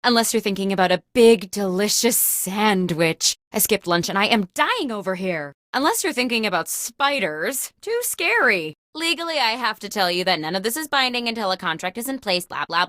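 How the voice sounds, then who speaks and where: A woman's voice: mid-range voice, big pitch swings, moderate pace, hardly any pauses, fairly bright tone, no room sound, clean studio sound. A woman playing a chipper, insecure, scatterbrained office boss as the host of a video game, with an over-eager, theatrical delivery. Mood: touchy, rambling.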